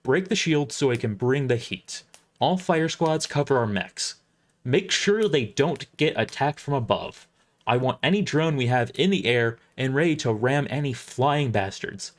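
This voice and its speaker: A man's voice: mid-range voice, animated, unhurried, close to an everyday voice. A man playing a blunt, wary space fleet admiral giving commands in a sci-fi audio drama. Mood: urgent command.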